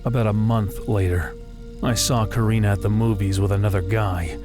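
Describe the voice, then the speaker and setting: A man's voice: lowish voice, fairly even intonation, moderate pace, natural voice. An actor playing a guarded, self-deprecating young American working-class man in an audio drama, with a slangy, laconic way of talking. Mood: regretful, bitter. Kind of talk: naturalistic audio drama dialogue. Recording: music playing behind the voice.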